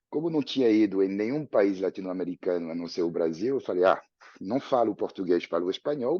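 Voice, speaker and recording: A man's voice: fairly low pitch, dry recording. French man speaking Portuguese, muffled, dry. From France. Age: 50s. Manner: reflective, storytelling with frequent restarts. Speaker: interview guest, professor. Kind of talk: relaxed conversational interview.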